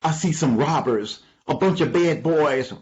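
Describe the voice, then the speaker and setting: A man voicing a bossy, excitable donkey in a children's story, exclaiming in a folksy way. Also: on the high side, measured pace. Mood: excited. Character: bossy, excitable male donkey, leader of animals. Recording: dull, lo-fi recording.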